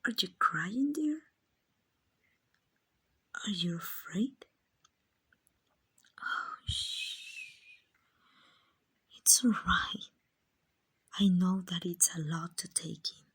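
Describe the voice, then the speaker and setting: A woman acting as a kind, gentle female vampire who owns a mansion, in a hushed, breathy, reassuring ASMR roleplay. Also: on the low side, big pitch swings, extremely slow, long pauses, heavily acted. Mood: soothing. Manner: hushed, breathy, tender and reassuring, often near whispering.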